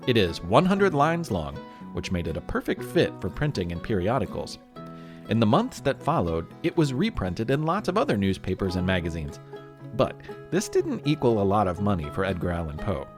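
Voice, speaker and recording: An American man hosts a history podcast in a fairly low, very animated voice. He reads scripted narration like a storyteller addressing young listeners, with faint music underneath. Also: moderate pace. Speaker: podcast host.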